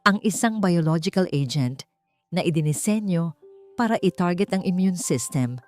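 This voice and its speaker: A woman with a Filipino accent narrating a scripted dramatic story in an expressive, theatrical manner. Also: sits low. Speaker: audiobook narrator.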